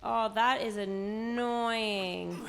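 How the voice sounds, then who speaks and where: A woman's voice: a little high, steady tone, very slow, vocal fry, a bit bright, a little hiss or hum. A woman live-streaming a video game, chatting casually to viewers and breaking into exclamations with mild minced swearing. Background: game music.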